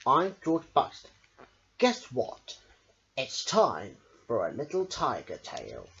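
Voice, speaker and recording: A woman reading a children's picture book aloud in a theatrical way, with deliberate emphasis. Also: extremely low pitch, dull audio. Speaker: storyteller.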